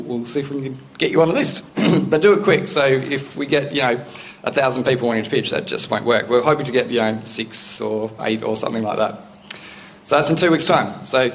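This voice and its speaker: A man's voice: mid-range voice, slight background noise. Chatty Australian man hosting a meetup. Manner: chatty, relaxed, loose with fillers. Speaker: event host. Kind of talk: casual event announcements. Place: meetup room with a live audience.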